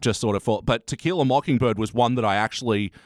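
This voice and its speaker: A man's voice: medium pitch, quick pace, no room sound, clean studio sound. A man hosting a casual review chat podcast, blunt and given to swearing. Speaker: podcast host.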